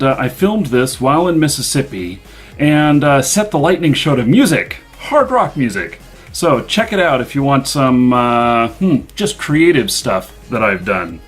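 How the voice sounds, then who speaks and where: A man hosting a casual live show, rambling and filling pauses with ums and uhs, blunt and plain-spoken. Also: on the high side. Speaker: show host.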